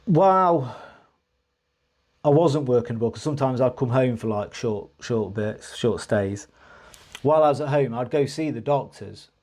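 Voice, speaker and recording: A man's voice: medium pitch, expressive, normal speed, a little mellow. A man telling a personal story to camera in a rambling, hesitant way, with many restarts, and earnest frustration when he recounts his grievances. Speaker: vlogger.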